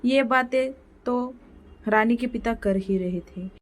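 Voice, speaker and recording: High-pitched woman storyteller. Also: takes it slowly. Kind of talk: scripted moral story narration.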